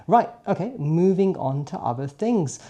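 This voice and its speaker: A man's voice: fairly high pitch, lively intonation, measured pace, dark tone. A man in his late forties, a native Punjabi speaker, talking chattily and informally in a daily vlog, often checking in with a questioning tag. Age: late 40s. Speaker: car vlogger. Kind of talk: casual daily vlog monologue to viewers.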